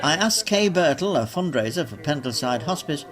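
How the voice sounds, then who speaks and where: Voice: medium pitch, lively intonation, moderate pace, crowd noise. A narrator reading a scripted community newsreel. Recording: music behind the voice.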